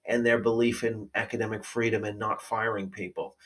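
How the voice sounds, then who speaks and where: A man hosting a conversation, laying out his arguments in an articulate way and often letting his voice trail off quietly. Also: lowish voice, little pitch movement, brisk. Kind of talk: casual conversation.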